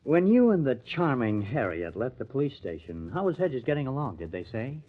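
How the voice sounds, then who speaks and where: A man's voice: mid-range voice, big pitch swings, a little fast. A tense newspaper artist with murder in him, in old-time radio style. His sardonic, menacing lines rise and fall dramatically. The vintage recording is dull and muffled. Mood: pensive, guarded.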